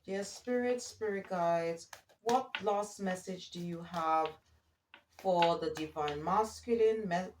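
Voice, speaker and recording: A woman giving a spiritual card reading in a halting manner, often checking in with the listener as she reads and improvises. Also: fairly low pitch, darkish tone, slight background noise. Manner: hesitant, halting, often checking in with okay and all right. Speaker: oracle card reader and spiritual guide. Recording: roomy echo, distant from the mic.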